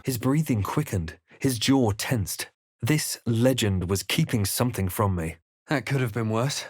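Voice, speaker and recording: An actor playing a brooding fantasy adventurer in a game, narrating his quest in a grave, measured, deliberate way. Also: medium pitch, no echo, clean studio sound, natural voice. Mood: grave, pensive. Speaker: video game player-character voice. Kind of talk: dramatic first-person game narration.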